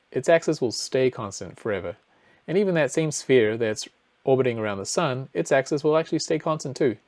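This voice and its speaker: A man talks through a screen share, calm and explanatory, stopping now and then to find his words. His voice sits in an ordinary middle register. The sound is close and dry, with no room around it. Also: mid-range voice, no room sound. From New Zealand. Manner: casual, explanatory, thinking aloud with fillers. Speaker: presenter.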